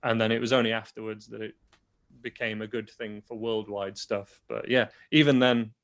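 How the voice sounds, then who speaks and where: A man's voice: fairly low pitch, level tone, moderate pace, a bit bright. A young adult man with a toned-down Yorkshire accent, a guitar teacher talking casually in an interview and explaining things plainly with frequent restarts. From Yorkshire, England. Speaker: guitar teacher and online video creator.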